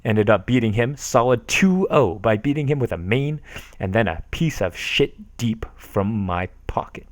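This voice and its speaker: A man's voice: on the low side, expressive, a little slow, dry recording. A man casually reading forum posts aloud on a stream, sarcastic and amused, often laughing at what he reads.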